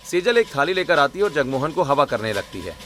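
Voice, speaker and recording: A man's voice: a little high, very animated, fairly quick, fairly bright tone, soft background music. A man narrating a scripted cartoon story.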